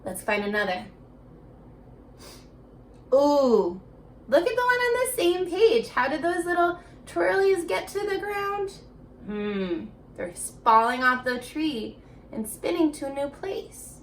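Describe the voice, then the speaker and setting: A woman's voice: high voice, very animated, slow pace, a little hiss or hum. A woman elementary teacher giving a children's reading lesson, encouraging and asking questions as she speaks directly to young pupils. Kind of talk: children's remote reading lesson, alternating slow read-aloud and chatty prompts.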